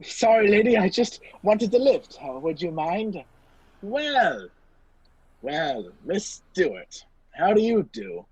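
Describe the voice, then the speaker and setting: Performer playing a husky, flustered gentleman hero. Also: lowish voice, sing-song, dry recording, full character voice. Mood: wry, urgent. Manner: halting, exclamatory, wryly polite. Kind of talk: amateur radio play acting. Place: indoors, performed over a video call. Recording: muffled video call audio.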